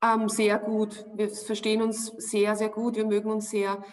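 A woman in her forties with an Austrian accent, answering interview questions from home over a video call. She speaks casually and often pauses with fillers while searching for the right words. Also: medium pitch, monotone. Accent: Austrian German. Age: 40s. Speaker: actress, interview guest. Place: home room, indoors. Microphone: video call webcam.

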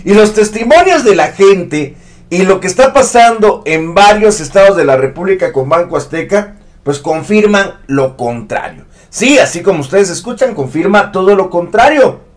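Man, thirties, fairly high voice, indignant news commentary, emphatic. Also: expressive, moderate pace. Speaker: host of an online news commentary show. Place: studio set with a news backdrop.

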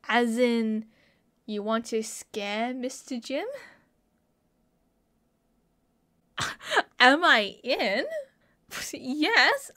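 A young woman with an Australian accent playing an excitable, mischievous college friend in a casual audio roleplay. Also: fairly high pitch, heavily acted. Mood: eager, scheming. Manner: playful, giggly, slangy. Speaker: roleplay voice performer. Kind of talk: ASMR roleplay acting, casual chat to a friend.

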